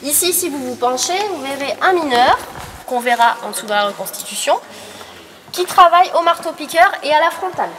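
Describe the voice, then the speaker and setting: A woman's voice: high voice, animated, fairly quick, fairly bright tone, distant mic. A tired woman with a cold, guiding a group through a museum and quizzing them with questions. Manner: explanatory, quizzes visitors with questions. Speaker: museum tour guide. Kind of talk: guided tour explanation to a group. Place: indoor mining museum hall. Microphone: far camera recording a group tour. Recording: echoey hall, voice from afar, noisy.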